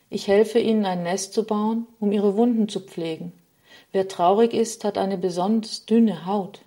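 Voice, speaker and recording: A woman's voice: mid-range voice, dark tone. A woman voicing Sadness, a dejected, unloved female figure, in a spoken storybook, lamenting in a slightly raised voice.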